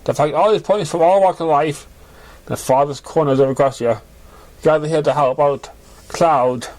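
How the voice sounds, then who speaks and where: A man's voice: on the high side, normal speed, darkish tone, rough recording. A man reading a story aloud as an amateur narrator.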